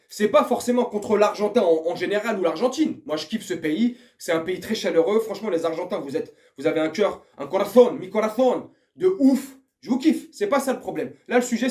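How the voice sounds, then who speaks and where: A man's voice: sits high, a little mellow. A man giving a casual, slangy football rant to his viewers, swearing and speaking to them as a friend. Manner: slangy, profane, addresses viewer familiarly. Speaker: football vlogger.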